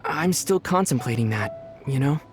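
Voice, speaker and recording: A man voicing a calm, thoughtful young traveler hero in a video game, speaking in a measured way. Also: medium pitch, over music, lightly acted.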